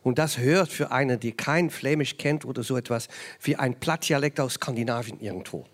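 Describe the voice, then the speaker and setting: A man's voice: medium pitch, moderate pace. Flemish-accented man speaking careful German. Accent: Flemish Dutch. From Belgium, Flanders (Ghent). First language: Dutch (Flemish). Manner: searching for words in German, frequent restarts and fillers. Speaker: author, panel guest. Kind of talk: panel discussion answer.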